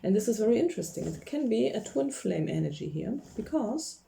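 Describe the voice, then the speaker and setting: A woman's voice: mid-range voice, sing-song, brisk, gravelly, mellow, slight background noise. Woman reading tarot cards. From Poland. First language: Polish. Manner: chatty, laughs often, gropes for English words. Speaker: tarot reader. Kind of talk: casual tarot reading to camera.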